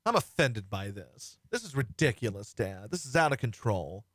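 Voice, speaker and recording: A whiny, demanding pet dog with a low, hushed voice, put on by a man. He sounds fussy and put out and keeps pressing his owner, recorded dry and close. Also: very animated, normal speed, dry recording. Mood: indignant. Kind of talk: comic bit on a talk show.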